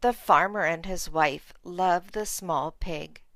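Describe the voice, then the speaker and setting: A woman's voice: lowish voice, slow, dry recording. A woman reading a children's storybook aloud as narrator. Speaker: storybook reader.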